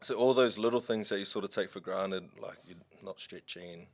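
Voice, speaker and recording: A man in his thirties with a New Zealand accent, chatting casually as a podcast guest in a relaxed, laid-back way. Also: sits low, normal speed. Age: 30s. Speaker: podcast guest, rugby player. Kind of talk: casual chat.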